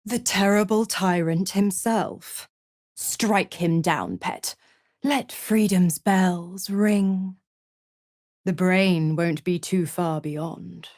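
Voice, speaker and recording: A woman's voice: on the low side, steady tone, very slow, darkish tone, no echo, studio quality. An actress playing a seductive, scheming female devil in a video game, mocking and purring with condescending endearments. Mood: smug, taunting. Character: seductive, scheming, gloating female devil who makes pacts. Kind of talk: theatrical game character dialogue.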